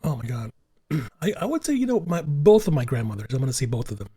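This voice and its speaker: Husky-voiced man, earnest podcast talk. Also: mid-range voice, sing-song, fast, dark tone. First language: Italian and Spanish. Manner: earnest, emphatic, repeats himself for stress. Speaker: podcast co-host. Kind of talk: casual podcast chat.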